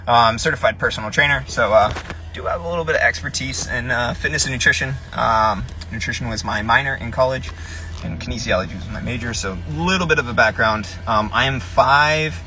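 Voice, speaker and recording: A man's voice: mid-range voice, a little fast, bright, forceful tone. A young man from Tennessee with no accent left, a personal trainer chatting casually on a live stream from his parked car, rambling with lots of ums and restarts. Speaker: fitness influencer, personal trainer.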